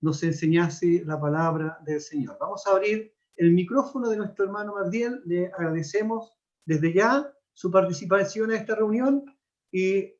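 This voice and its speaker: A man with a fairly high voice speaks formally as the host of a church meeting. He sounds like someone introducing a guest, over slightly dull, lo-fi online audio. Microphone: remote online meeting microphone.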